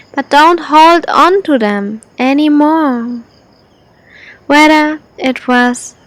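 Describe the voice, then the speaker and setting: A woman with a high voice guides listeners through a meditation for falling asleep. She speaks extremely slowly, placing short phrases one at a time. Her instructions are gentle and deliberate. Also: no room sound.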